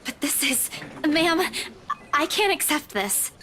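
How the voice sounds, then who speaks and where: High, lively, polite young agent. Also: female voice, takes it slowly, a little hiss or hum, full character voice. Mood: flustered. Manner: courteous, slightly hesitant. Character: polite young female real estate agent, earnest and deferential. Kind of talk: video game voice acting.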